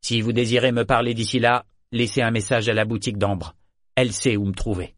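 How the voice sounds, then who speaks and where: A man's voice: medium pitch, fairly even intonation, brisk, a bit bright, no room sound, studio quality, lightly acted. A man voicing a proud, blunt, bitter sailor in an audiobook. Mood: curt, guarded. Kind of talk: audiobook character dialogue.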